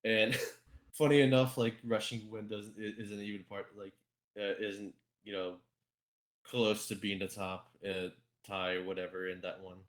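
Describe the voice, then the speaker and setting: A man hosting a casual group podcast, talking in a halting, repetitive way with casual swearing. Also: on the low side, little pitch movement.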